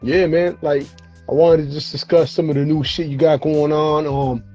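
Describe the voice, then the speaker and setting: A man's voice: on the high side, little pitch movement, normal speed, a little mellow, highs cut off, soft background music. A man hosting a casual hip-hop interview show over a video call, tired and losing his voice, talking in a laid-back, slangy way with plenty of fillers and cursing. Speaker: show host.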